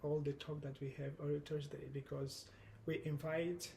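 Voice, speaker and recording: Guest, Portuguese-accented Angolan man, 30s, gravelly higher voice, earnest and halting. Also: flat delivery, mellow, a little hiss or hum. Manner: earnest, measured, with halting restarts. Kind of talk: casual conversation.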